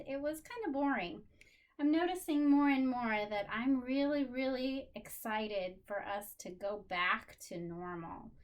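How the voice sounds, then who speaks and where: A woman teacher speaking directly to young children in an animated, encouraging way, with simple phrases and questions. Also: on the high side. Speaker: special education teacher. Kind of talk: lesson for young children, talking directly to pupils.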